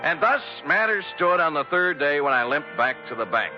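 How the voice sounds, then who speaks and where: Wry radio private eye, lively delivery. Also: male voice, fairly high pitch, moderate pace. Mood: wry narration. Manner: wry, deadpan. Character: wry hard-boiled male private detective. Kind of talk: 1940s radio drama acting, first-person narration. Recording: muffled old radio recording with music.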